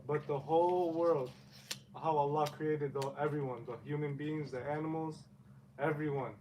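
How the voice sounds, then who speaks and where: Man speaking up from the back of a mosque class; monotone, hesitant, picked up from a distance with faint noise. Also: on the high side. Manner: halting, searching for words, many fillers. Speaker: class member asking a question. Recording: distant from the mic with faint background hum.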